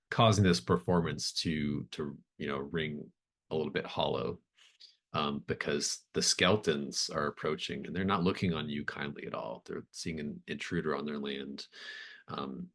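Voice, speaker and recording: A man with an extremely low voice acts as game master for a tabletop horror game. He improvises his narration thoughtfully, feeling his way through each description with halting phrases. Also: gravelly, a bit bright, husky.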